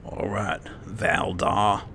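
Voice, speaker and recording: A man giving casual, in-character commentary on a game playthrough, unhurried and musing to himself. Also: on the low side, little pitch movement, pushed and bright, slight background noise. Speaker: gaming host, role-playing as his character.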